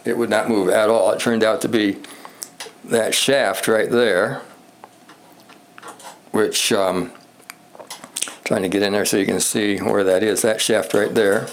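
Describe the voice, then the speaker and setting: Low-voiced man rambling in an echoey room. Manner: rambling, halting, thinks aloud. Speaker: hobbyist presenter demonstrating a vintage radio repair. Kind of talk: casual unscripted show-and-tell. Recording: echoey room with background noise.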